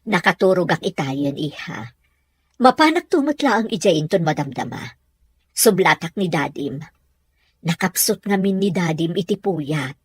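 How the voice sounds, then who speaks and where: Casting: actress as worried middle-aged mother, Ilocano Filipino accent, breathy husky tone, very animated delivery, audio soap. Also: fairly low pitch, normal speed, no echo. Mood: gentle, weary. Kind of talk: audio soap drama acting.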